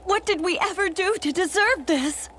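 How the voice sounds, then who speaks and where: A frightened townswoman in a video game. Her voice is piercingly high and bright, full of grievance. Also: normal speed, slight background noise. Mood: frightened, aggrieved.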